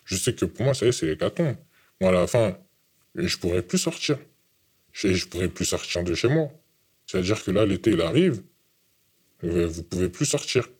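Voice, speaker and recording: A young man from France giving a halting, repetitive testimony in an interview. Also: deep voice, big pitch swings, a little mellow, husky. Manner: halting, repeats words. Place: hidden from camera.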